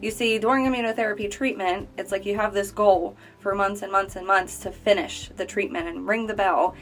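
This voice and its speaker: A woman's voice: medium pitch, steady tone, a little fast, fairly bright tone. A woman in her late twenties, a cancer survivor, earnestly telling her personal story in a conversational way. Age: 20s. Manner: earnest, conversational, speaking straight to viewers. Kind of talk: personal testimonial.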